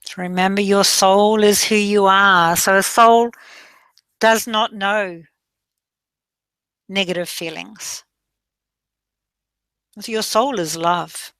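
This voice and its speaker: A woman guides a meditation at a very unhurried pace, her tone bright and clear. She draws out her phrases, soothing and gentle, slipping now and then into soft breath. The sound is close and dry, with no room around it. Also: mid-range voice, fairly even intonation, no room sound.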